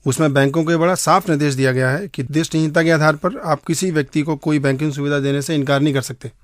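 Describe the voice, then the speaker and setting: An Indian man gives an explanatory interview answer as an advocate. His voice sits a little high for a man, and the recording is dry with almost no echo. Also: steady tone, fast. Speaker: advocate giving legal advice.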